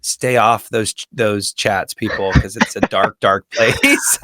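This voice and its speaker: A man with a hoarse voice hosting a casual podcast interview, chatty and loose, with many restarts. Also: fairly low pitch, steady tone, slow pace, sharp tone, no room sound. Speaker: podcast host. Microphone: close studio mic.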